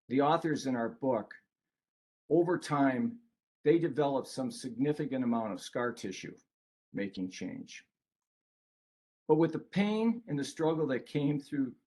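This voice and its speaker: A man with a mid-pitched voice co-presents an informal webinar. He talks as an author addressing an online group. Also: darkish tone.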